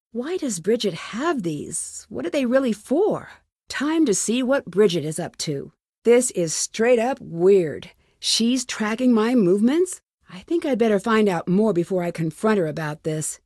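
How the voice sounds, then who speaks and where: A woman's voice: a little high, a little mellow, no room sound, clean studio sound. An American woman playing a curious, plucky young amateur detective in a video game, talking to herself in short, wry remarks. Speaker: voice actor in a detective adventure game. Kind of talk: video game character lines.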